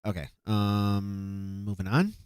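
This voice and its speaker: A man's voice: on the low side, steady tone, slow pace, a little mellow, clean tone, dry recording, clean studio sound. A man hosting a live stream, judging beatbox entries and thinking aloud with hesitant fillers and restarts. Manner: calculating aloud while reviewing clips. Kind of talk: casual live-stream commentary.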